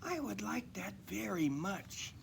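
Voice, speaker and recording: A man voicing a sweet, eager young female reindeer in a storybook, with a soft, earnest manner. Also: high-pitched, very animated, slow pace, vocal fry, slight background noise, pitched above the performer's own voice, full character voice. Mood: hushed wonder.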